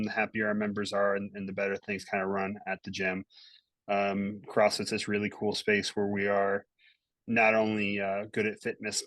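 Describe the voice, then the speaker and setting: A man hosts an online meeting in a casual, encouraging way. His voice is on the low side and stays quite even, captured close and dry with little room sound. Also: no echo. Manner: encouraging, informal. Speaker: host, gym owner. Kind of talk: casual closing remarks on a group video call.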